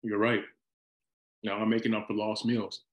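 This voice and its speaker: A man's voice: fairly low pitch, flat delivery, moderate pace, close to an everyday voice. A man playing an evasive, hungry husband in his forties in a screenplay table read, hesitant and playful. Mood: sheepish, deflecting. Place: indoor room on a video call. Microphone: video call microphone.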